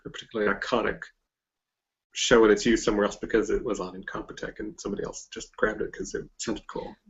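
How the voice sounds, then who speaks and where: A man with an American accent hosting a casual interview, speaking in an informal, somewhat halting conversational way. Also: fairly low pitch, fairly even intonation, dull audio. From southern US or Mexico border region (Texas, Oklahoma area). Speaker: podcast host and interviewer.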